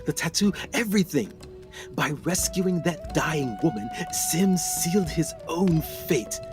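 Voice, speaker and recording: Man acting as tormented explorer, halting and intense. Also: fairly high pitch, expressive, music in the background. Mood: grave, haunted. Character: deranged, dying male explorer, tormented and desperate. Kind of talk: dramatic radio play acting.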